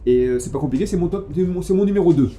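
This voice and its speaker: A man's voice: a little high, very fast, slight background noise. A French man co-hosting a casual podcast chat, talking with enthusiasm and frequent restarts. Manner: enthusiastic, animated, many restarts. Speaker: podcast co-host. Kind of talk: casual chat about cigars.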